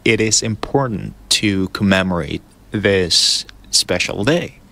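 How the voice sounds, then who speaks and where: A man interviewing a guest for a radio station, who asks questions in a stumbling, friendly way and backs the guest up with quiet "I see" remarks. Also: low-pitched, animated, slow pace, gravelly. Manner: soft backchannel "I see" and "mm", gentle laughs, stumbling questions.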